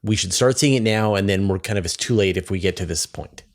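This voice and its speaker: A man hosting a science interview, conversational and halting as he rephrases his questions while going along. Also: fairly low pitch. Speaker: interview host.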